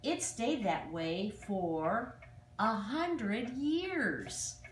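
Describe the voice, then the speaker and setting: An older woman reads a children's fairy tale aloud, with expressive, dramatic emphasis on exclamations. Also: mid-range voice, very slow. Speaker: storyteller. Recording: distant, echoey room with faint noise.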